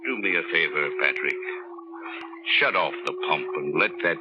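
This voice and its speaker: An actor playing an older, kindly, moralizing doctor in a 1940s radio drama. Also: male voice, very deep voice, animated, normal speed. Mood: pleading, moral. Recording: muffled noisy 1940s radio recording.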